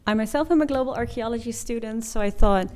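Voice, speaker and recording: A woman's voice: on the high side, fast talker, darkish tone, a little hiss or hum. A woman in her twenties giving an informative presentation in an informal, explanatory way. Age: 20s. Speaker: master's student presenter.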